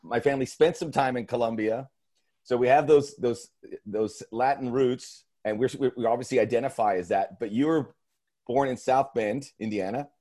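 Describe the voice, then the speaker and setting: A man's voice: mid-range voice, moderate pace, warm tone. A man hosting a sports podcast, talking in an earnest, emphatic way and often restarting his phrases. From United States. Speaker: podcast host. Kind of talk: casual podcast talk.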